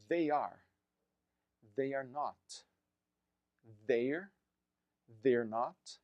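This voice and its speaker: A man in his thirties, a native Portuguese speaker, teaching an English lesson and drilling phrases slowly for learners to repeat. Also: mid-range voice, no room sound, muffled recording. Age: 30s. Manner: slow, deliberate drilling of phrases for repetition. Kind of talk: recorded online language lesson.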